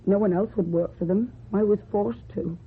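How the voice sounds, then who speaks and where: An actress with a British accent playing a guarded young runaway woman in a radio drama, giving short, plain replies in a husky, breathy voice. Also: mid-range voice, normal speed. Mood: guarded, bitter. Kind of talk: old-time British radio drama acting. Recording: thin, muffled old radio recording.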